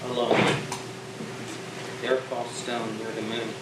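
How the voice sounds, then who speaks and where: A man's voice: mid-range voice, flat delivery, takes it slowly, close to an everyday voice. Distant, echoey, brooding spaceship captain played by a man. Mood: resigned, solemn. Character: brooding spaceship captain facing death, wistful. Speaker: student stage actor. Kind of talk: theatrical stage monologue. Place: school theatre stage. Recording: echoey stage, distant mic, clear background noise.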